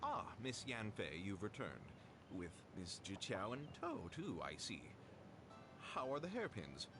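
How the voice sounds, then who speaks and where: Polite merchant dude from a game, low voice that swoops around, music behind. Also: male voice, sing-song, a little slow. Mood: courteous. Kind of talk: video game character voice acting.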